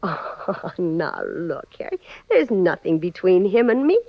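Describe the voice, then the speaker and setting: An actress playing a scheming, slangy gangster's moll in a 1940s radio crime drama. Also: female voice, lowish voice, sing-song, measured pace, gravelly, no echo, exaggerated voice. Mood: evasive, coy. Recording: dry, dull 1940s radio recording.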